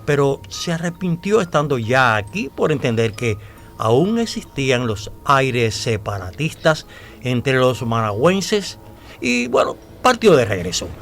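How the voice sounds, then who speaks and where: An actor in a radio drama playing a devout, talkative man who tells old secrets in a deliberate, dramatic way with hesitations. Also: mid-range voice, sing-song, creaky, a bit bright, soft background music, full character voice. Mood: confiding, suspenseful.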